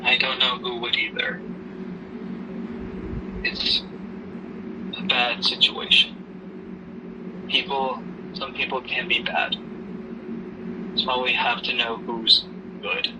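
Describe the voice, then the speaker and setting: A man acting as a protective father in grief, heard a little away from the mic through clear background noise. He talks gently and haltingly, reassuring his daughter in a homemade audio drama. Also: medium pitch, monotone, lightly acted. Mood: somber. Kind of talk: amateur audio drama dialogue. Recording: noisy hobby recording, distant mic.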